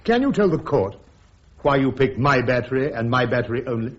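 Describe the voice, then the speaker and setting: A man playing a defiant, sharp British army major with a clipped, ironic English manner in a radio drama. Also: mid-range voice, expressive, fairly quick, natural voice. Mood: cutting, insistent. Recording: dull, muffled radio drama recording.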